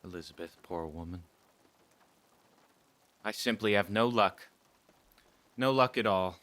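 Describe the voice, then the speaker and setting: An actor playing a melancholy, brooding policeman in a radio drama, speaking in a deliberate way. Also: lowish voice, fairly bright tone, a little hiss or hum. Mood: mournful, subdued.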